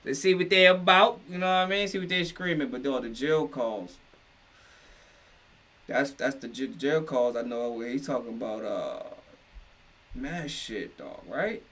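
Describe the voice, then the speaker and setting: A man's voice: medium pitch, measured pace, a bit bright, distant mic. A man giving casual, unscripted reaction commentary, slangy and profane, repeating himself for emphasis. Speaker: reaction video host.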